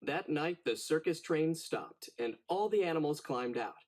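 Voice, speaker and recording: A man narrating a children's storybook in an expressive, dramatic read-aloud style. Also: on the high side, moderate pace.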